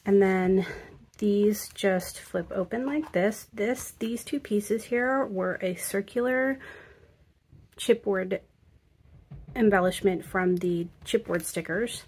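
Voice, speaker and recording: A woman calmly narrating a walkthrough of a handmade album, explaining each step with fillers. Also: medium pitch, slow pace, breathy edge. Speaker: craft video creator. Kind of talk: casual craft walkthrough narration.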